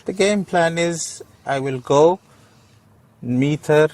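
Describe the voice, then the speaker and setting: Boastful sleazy ladies man, dating game, slow, voice a bit high. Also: a little mellow, no echo. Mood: smug, boastful. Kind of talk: acted dating-game cutscene dialogue.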